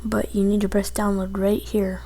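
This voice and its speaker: A woman presenting a casual software tutorial as she goes through the steps on a computer. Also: mid-range voice, monotone, moderate pace.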